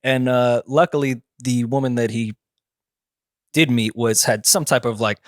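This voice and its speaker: A man co-hosting a casual podcast, telling stories in a relaxed way and laughing easily. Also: mid-range voice, unhurried, no echo, studio quality. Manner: laid-back storyteller, laughs easily. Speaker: podcast co-host.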